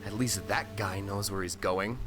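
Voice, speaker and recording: A man's voice: lowish voice, fairly even intonation, normal speed, loud music behind the voice, natural voice. An actor playing a chatty, wry male survivor of the apocalypse in an audio drama. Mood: exasperated, wry.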